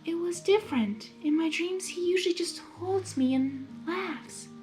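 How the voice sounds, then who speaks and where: A timid cartoon pegasus mare, played by a woman, speaks in a high, soft voice. She is hesitant and anxious, and faint music can sit behind her words. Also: a little slow. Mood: shaken, hesitant. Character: timid, nervous female cartoon pegasus pony.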